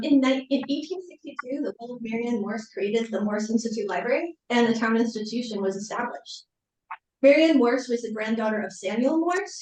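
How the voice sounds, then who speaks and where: Soft-spoken woman, echoey and distant. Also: on the high side. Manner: soft-spoken, with frequent fillers. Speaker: library director. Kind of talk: community presentation. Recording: echoey room, far from mic.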